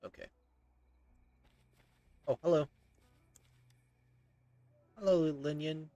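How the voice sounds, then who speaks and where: A man's voice: a little high, very slow, dark tone, dull audio. A man, casually commenting on a game as he plays and repeating himself. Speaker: game streamer. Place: indoor room.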